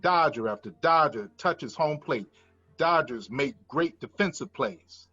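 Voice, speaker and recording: A man's voice: on the high side, lively intonation, unhurried, bright, forceful tone. Slightly muffled male screenplay narrator. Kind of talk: scripted narration of stage directions. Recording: dull lo-fi sound, music behind.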